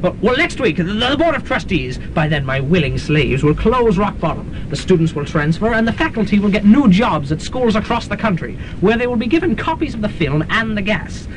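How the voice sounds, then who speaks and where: A man's voice: sing-song, brisk, few pauses. A man playing a pompous, megalomaniac college president who gloats theatrically in a comic radio drama. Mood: gloating. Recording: noisy, rumbling early-1990s student radio recording.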